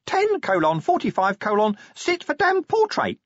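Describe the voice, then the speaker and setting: Storybook role: a shrill, needy little male imp, high and fast, recording dull and dry. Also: huge pitch swings, dry recording, exaggerated voice. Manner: fast, eager sales patter. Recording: dull, dry studio sound.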